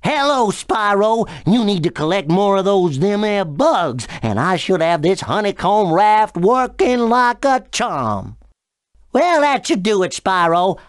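An easygoing country critter in a video game. The voice is folksy and unhurried, drawling yet clear, with lively pitch movement. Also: male voice, dry recording, studio quality, full character voice. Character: folksy, easygoing rustic raft owner.

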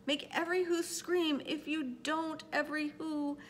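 A woman's voice: sits high, very animated, slow pace, fairly bright tone, big-room sound, voice pushed up, full character voice. A woman voicing an earnest, big-hearted male elephant from a storybook, calling out urgently and imploringly.

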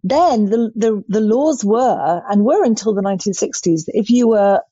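Older lady telling stories on a video call, mellow husky voice, dry dull sound. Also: mid-range voice, normal speed, dry recording. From Scotland and southern England. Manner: lively, expressive, gestures a lot with her hands. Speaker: skincare brand founder, guest. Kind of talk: storytelling interview answers. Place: bright living room at home.

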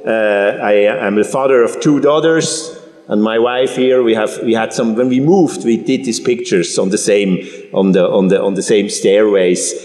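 A man's voice: fairly low pitch, fairly even intonation, darkish tone. Guest speaker, man, fifties, from Switzerland; hesitant, restarts often; big reverberant hall. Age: 50s. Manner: halting, many restarts and fillers. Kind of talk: public talk. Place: large church hall. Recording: roomy hall with long reverb.

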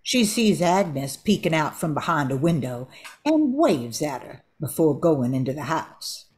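Older woman, narrator, lowish pitch, expressive intonation, scripted radio drama. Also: measured pace, a little hiss or hum.

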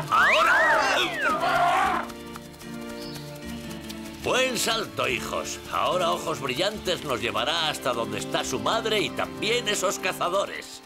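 Very animated wise old gnome. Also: male voice, fairly high pitch, unhurried, music in the background, full character voice. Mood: urgent, encouraging. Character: kindly, wise old male gnome leader. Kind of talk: dubbed cartoon acting.

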